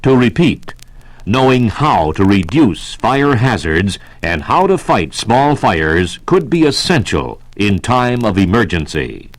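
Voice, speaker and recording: An American man reading a scripted 1960s civil defense radio announcement in a measured, formal, instructional manner. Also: on the low side, big pitch swings, dry recording. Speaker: public information announcer.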